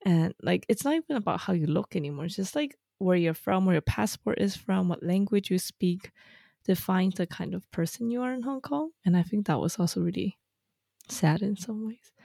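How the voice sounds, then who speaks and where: Young female interviewer, heavy vocal fry, mellow and dry. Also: medium pitch, lively intonation, moderate pace, no room sound. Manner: warm-hearted, chatty, frequent "like". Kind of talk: casual interview conversation.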